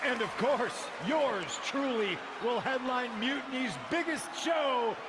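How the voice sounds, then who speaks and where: A man playing a cocky rebel wrestler and faction leader, giving a boastful promo speech with dramatic pauses over a loud arena crowd. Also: very high-pitched, heavy background noise. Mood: boastful, defiant. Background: roaring arena crowd.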